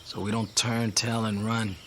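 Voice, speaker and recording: An American actor playing a wry, sceptical young infantry soldier in a radio drama, with a colloquial way of talking. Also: male voice, fairly low pitch, level tone, unhurried, a little hiss or hum, close to an everyday voice. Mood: sceptical banter.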